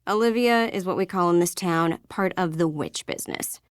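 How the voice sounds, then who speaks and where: A woman voicing a guarded, sarcastic young American misfit in scripted video game dialogue, with a casual, sullen, defensive deadpan. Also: fairly low pitch, moderate pace, smooth, no room sound, clean studio sound, lightly acted. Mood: wary.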